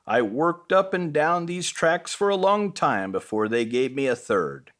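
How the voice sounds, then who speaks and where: A big, friendly train from a children's storybook, acted out by a man. He is a patient, seasoned mentor with a slow pace and lively pitch movement. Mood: patient, wise.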